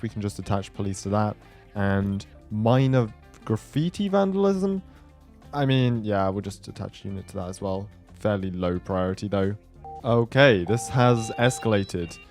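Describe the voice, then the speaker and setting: A man's voice: on the low side, darkish tone. A man giving casual gameplay commentary as a video host, thinking aloud as he goes. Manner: thinks aloud, talks himself through decisions. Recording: music behind the voice.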